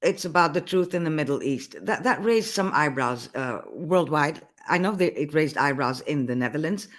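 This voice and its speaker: A man's voice: fairly high pitch, expressive, normal speed, fairly bright tone. A man hosting a live online interview over a video call, eager and enthusiastic, often stumbling over how he begins a question. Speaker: host.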